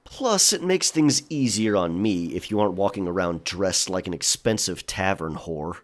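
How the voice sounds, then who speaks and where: A gruff, stubborn pirate from an audio drama played by a man. His voice sits very low and moves widely in pitch as he teases. He is rough-mannered and needling. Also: animated, moderate pace. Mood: teasing, wry. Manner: gruff, teasing. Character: gruff male pirate raider, teasing and stubborn.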